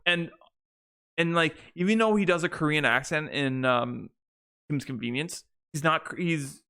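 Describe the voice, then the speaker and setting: A man's voice: fairly high pitch, unhurried, bright, forceful tone, clear, resonant tone. A young man co-hosting a podcast, chatting casually and rambling with many restarts and fillers. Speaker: podcast co-host. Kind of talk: casual chat.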